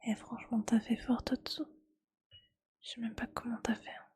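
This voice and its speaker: A woman speaking in a breathy ASMR whisper, chatting casually and with gentle teasing like a streamer talking to viewers. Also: fairly high pitch, flat delivery, normal speed.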